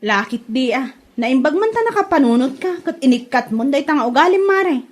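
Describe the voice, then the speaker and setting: A woman playing a sharp-tongued, gossipy village wife in a comedy drama, scolding emphatically with an Ilocano accent. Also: high voice, lively intonation, brisk. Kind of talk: Ilocano comedy radio-style drama acting.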